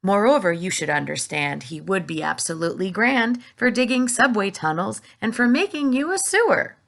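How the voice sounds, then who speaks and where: A woman's voice: medium pitch, lively intonation, normal speed, creaky, fairly bright tone. A woman voicing a cheerful, friendly small boy reciting rhymes in a storybook. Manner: eager, sing-song rhyming.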